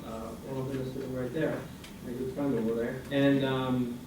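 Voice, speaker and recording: A man's voice: mid-range voice, flat delivery, moderate pace, recorded from a distance. A man in his forties, raised in France and Iranian American, giving a hesitant, filler-filled presentation to a student senate as a guest speaker. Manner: hesitant, many fillers and restarts. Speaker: guest speaker, international center director. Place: university meeting room. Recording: roomy hall recording from afar, noisy.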